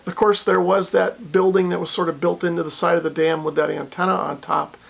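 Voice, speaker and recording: A man's voice: sits high, level tone, a little fast, gravelly, husky. A man narrating a casual vlog in an informal, explanatory way, thinking aloud as he goes. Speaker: vlogger, radio hobbyist.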